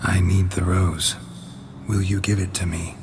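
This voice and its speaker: An actor playing a blunt, world-weary monster hunter in a video game, with a gravelly, hushed voice and terse, deadpan delivery. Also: male voice, sits low, unhurried. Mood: grave, frank. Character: stoic, blunt male monster-hunting witcher, world-weary. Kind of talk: restrained video game acting. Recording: reverberant hall, noisy ambience behind.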